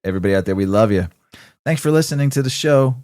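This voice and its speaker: A man's voice: medium pitch, animated, quick pace, a little mellow, clear, resonant tone, no room sound, clean studio sound. A man co-hosting a podcast in a loose, rambling way, laughing often and swearing casually. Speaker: co-host. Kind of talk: casual chat.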